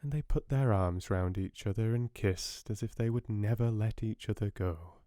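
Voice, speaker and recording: British man, soft-spoken, lowish pitch, dry close sound, audiobook storybook narration. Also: moderate pace, no echo.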